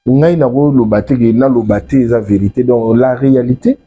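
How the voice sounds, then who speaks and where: Congolese man explaining his faith in an interview, really dark muffled tone, bit husky, repeats himself. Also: mid-range voice, a little fast. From Democratic Republic of the Congo. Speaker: religious messenger, interview guest. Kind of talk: explanatory interview talk, mixing French and Lingala.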